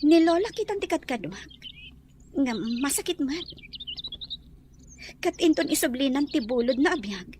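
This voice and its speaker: Actress as sad, grateful young woman, radio-drama style; high, swooping voice, clear background noise with chirping. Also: expressive, moderate pace, long pauses. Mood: sorrowful. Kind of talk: Ilocano audio drama acting. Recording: noisy track with bird chirps.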